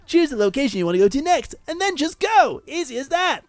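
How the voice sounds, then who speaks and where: Guy doing cheeky bossy fox demon mascot from a visual novel, high n swoopy. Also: very animated, fast, no echo. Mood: cheeky, instructive.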